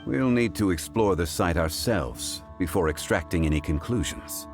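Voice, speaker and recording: An actor playing a composed, scholarly gentleman investigator in a video game, speaking in a formal, measured, analytical way. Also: low voice, lively intonation, over music. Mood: calm, analytical. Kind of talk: video game character dialogue.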